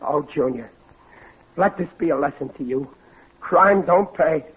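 A middle-aged American working stiff from a 1940s radio comedy, sheepish and bumbling. His voice is husky and high-pitched, and he stammers and blusters ungrammatically. It comes through a thin, phone-like old recording. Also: measured pace. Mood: glum, scheming. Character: bumbling, sheepish, guilt-ridden working-class American husband and father.

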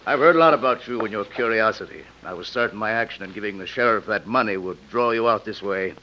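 An actor playing a sinister, courteous rich villain in old radio drama, speaking quietly and formally without hurry. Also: male voice, lowish voice, quick pace, lightly acted. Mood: silky menace. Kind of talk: 1940s-50s radio drama acting. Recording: dull old radio recording.

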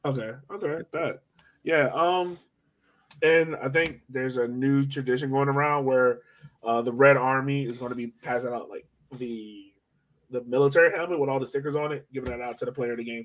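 A man's voice: mid-range voice, moderate pace, low-quality recording. An American man co-hosting a sports podcast in a casual, slangy chat style, breaking down players and performances. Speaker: podcast co-host.

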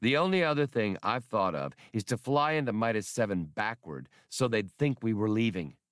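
A man voicing a brash, cocky male starship captain who talks fast and excitably. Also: lowish voice, dry recording, clean studio sound. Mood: persuasive.

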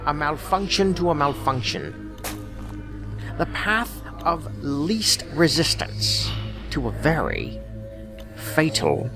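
Villain read,: slow, gravelly, cold and measured, voice rising and falling. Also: male voice, on the high side, very animated, over music, heavily acted. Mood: menacing. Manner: cold, measured, philosophical menace. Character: calm menacing man who appears from nowhere. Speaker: voice actor. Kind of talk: audio drama acting.

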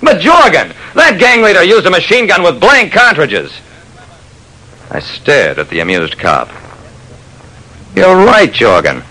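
A man's voice: high voice, huge pitch swings, a little slow, long pauses, sharp tone, low-quality recording. A man narrating a classic radio detective drama as its lead, in a wry, dramatic first-person storytelling manner.

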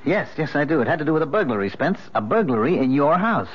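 Patient, doubting police inspector, mid-pitched, expressive, placating, on a very noisy old broadcast. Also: male voice. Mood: affable. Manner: measured, placating. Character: Marty, patient, skeptical police inspector and friend. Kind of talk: 1970s radio drama acting. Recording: muffled, very noisy vintage radio broadcast.

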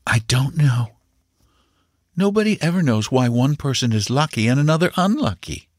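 A weary mother as a male narrator plays her explains things to her child with quiet bitterness. The voice is lifted in pitch, in the manner of audiobook dialogue, and recorded very dry. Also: very animated, moderate pace, dry recording. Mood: bitter, resigned.

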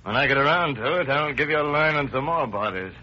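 An American actor playing a sullen, taunting confessed murderer in 1930s radio style, with slangy, defiant talk. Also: male voice, mid-range voice, animated, fast talker, breathy edge. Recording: dull, hissy old radio recording.

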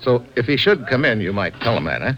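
A man's voice: medium pitch, big pitch swings, fast, lightly acted. An actor with an American accent playing a tough, wisecracking man on the run who narrates his own radio adventure in clipped slang. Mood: brisk, wry. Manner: clipped, slangy, hard-boiled. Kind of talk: 1950s radio adventure acting, hard-boiled narration. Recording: noisy, muffled old radio recording.

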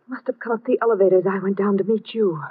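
Glamorous distressed stage star, melodramatic and halting, dark-toned, muffled vintage radio sound. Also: female voice, medium pitch, slightly rough. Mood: uneasy. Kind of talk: 1950s radio drama acting. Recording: muffled lo-fi old radio broadcast.

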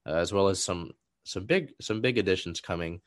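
Low-voiced man asking rambling questions. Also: moderate pace, dry recording, clean studio sound. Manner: rambling questions with many restarts and fillers. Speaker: interviewer. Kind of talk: conversational podcast interview.

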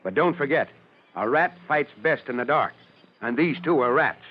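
A man's voice: medium pitch, animated, normal speed, natural voice. Firm authoritative fire chief played by a man, muffled. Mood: stern, concerned. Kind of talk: old-time radio drama acting. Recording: muffled old radio recording.

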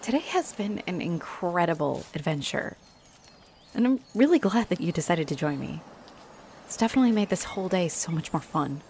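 A woman playing a friendly, flirtatious halfling orc adventurer in a roleplay audio, speaking straight to the listener in a warm, playful, expressive way. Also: lowish voice, moderate pace, a little hiss or hum. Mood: cheerful, playful.

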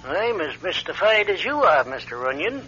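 Radio drama character: courteous, folksy, secretive man, highish gravelly voice, lively swings, dull recording. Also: normal speed, faint music behind, full character voice. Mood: cagey, courteous. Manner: clipped, folksy, drops sentence subjects. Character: folksy, cagey, courteous male local acquaintance. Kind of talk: classic radio drama acting. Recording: dull, band-limited radio sound.

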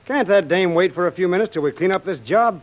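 An actor playing a gruff, impatient sergeant in a vintage radio serial, brusque and sarcastic. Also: male voice, high-pitched, fast talker, natural voice. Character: gruff, impatient tough sergeant of canal guards. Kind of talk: 1940s radio serial acting. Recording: muffled old radio serial recording.

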